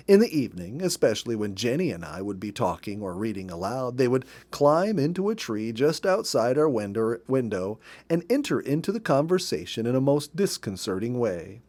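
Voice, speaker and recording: Man, storybook reader, expressive emphatic phrasing, widely varied pitch, medium register. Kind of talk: read-aloud storytelling from a nature memoir.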